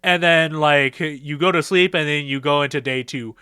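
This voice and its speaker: Male creator, slightly high and bright, riffing a story in casual slang. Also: moderate pace, smooth, no room sound, clean studio sound. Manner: slangy, conversational, playful. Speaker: content creator. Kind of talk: casual improvised storytelling with acted quotes.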